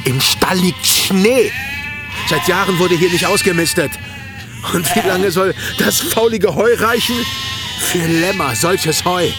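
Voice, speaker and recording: An actor playing a furious, desperate, stubborn herdsman in a German radio play, exclaiming in agitation. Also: high-pitched. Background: loud music and bleating sheep.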